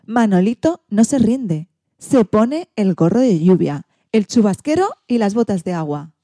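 A woman reading a children's storybook in an expressive, theatrical way. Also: medium pitch, unhurried, no room sound. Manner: theatrical, acts out sound effects and exclamations.

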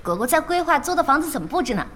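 A woman's voice: high-pitched, very animated, racing, a bit bright, a little hiss or hum. An actress playing a young female gamer in a radio drama. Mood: light. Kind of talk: Chinese radio drama acting.

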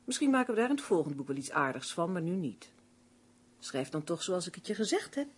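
An actress playing a brisk, bossy, practical female writing partner, expressive and insistent, in a Dutch radio play. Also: on the low side, slight background noise. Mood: exasperated, insistent.

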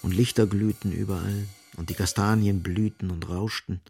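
Radio drama narrator: grave, gravelly, literary, with music beneath. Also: male voice, fairly low pitch, fairly even intonation, moderate pace, a little mellow. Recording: music underneath.

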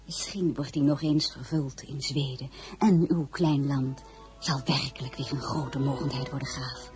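An actress playing a poised, idealistic crown princess in a historical drama, speaking in a measured, earnest way. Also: female voice, rumbling low, fairly even intonation, a little mellow, soft background music. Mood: solemn, idealistic. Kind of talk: dramatised historical novel, radio drama acting.

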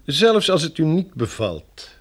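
An actor in a Dutch-language radio play as a courteous, firm envoy of a pioneer community, speaking in a measured, formal, persuasive way. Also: male voice, a little high, sing-song, slightly rough, exaggerated voice. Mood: firm, diplomatic.

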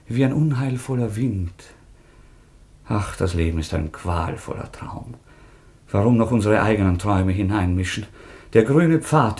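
A man's voice: medium pitch, a little mellow, natural voice. A man playing a passionate, impulsive young nobleman in a radio drama, with a lyrical, fervent, declamatory delivery. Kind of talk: theatrical German radio drama acting.

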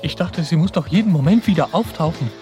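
A man's voice: on the high side, expressive, fast talker, dark tone, close to an everyday voice. Actor as agitated man over faint music. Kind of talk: radio drama acting.

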